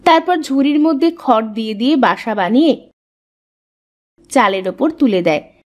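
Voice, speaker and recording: A woman's voice: fairly high pitch, expressive, normal speed. A woman giving scripted storybook narration as the narrator of a folk-style tale.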